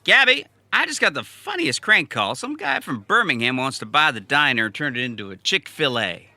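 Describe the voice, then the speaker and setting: Actor as excitable, stubborn diner cook, bright voice. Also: male voice, medium pitch, normal speed, no room sound, heavily acted. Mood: worried, pleading. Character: stubborn, earnest, joke-loving man who cooks at a country diner. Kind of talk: comedic audio drama dialogue.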